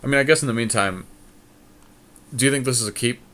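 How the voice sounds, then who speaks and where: A man co-hosting a game podcast, casually thinking his plays out loud with a friend, joking and swearing. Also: medium pitch, quick pace. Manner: profane, joking; deliberating aloud, thinking through plays. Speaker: podcast co-host playing a card game draft.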